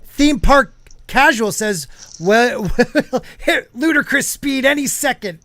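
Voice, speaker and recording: A man's voice: very high voice, expressive, slow pace, sharp tone, a little hiss or hum. A man hosting a casual podcast, joking around and reading viewer comments aloud. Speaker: podcast host.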